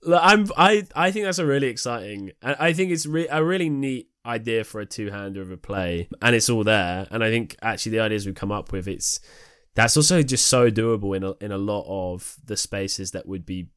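A man's voice: lowish voice, a little fast, fairly bright tone, clear, resonant tone, dry recording, studio quality. A man co-hosting a casual podcast, thinking aloud enthusiastically as he talks. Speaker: podcast co-host. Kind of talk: casual chat.

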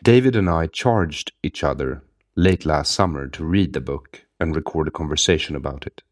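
Swedish guy hosting a podcast, super low voice, dry sarcastic delivery. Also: moderate pace. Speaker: podcast host. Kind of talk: read intro then casual conversation.

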